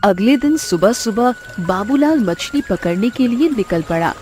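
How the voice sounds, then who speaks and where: A woman's voice: mid-range voice, expressive, measured pace, over music. A woman reading scripted narration for a children's cartoon story.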